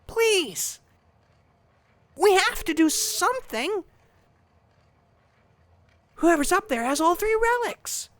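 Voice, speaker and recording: A woman's voice: very high-pitched, sing-song, slow pace, pauses often, a bit bright, no room sound, exaggerated voice. A woman voicing an earnest, urgent young adventurer in a fan dub. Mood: pleading, excited.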